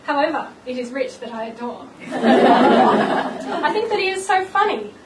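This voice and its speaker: A high-voiced woman with a slightly croaky voice reads her engagement speech and a little rhyming poem, nervous and giggly. She sits far from the mic in an echoey room, and loud party noise fills the space around her. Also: animated, unhurried, a loud crowd. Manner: nervous, giggly, self-deprecating. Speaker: bride-to-be giving engagement speech.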